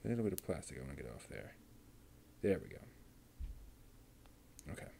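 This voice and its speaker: A man's voice: very deep voice, big pitch swings, fairly quick, vocal fry, warm tone, slight background noise. A man building a model kit on a live stream, muttering to himself and chatting casually with viewers as he works.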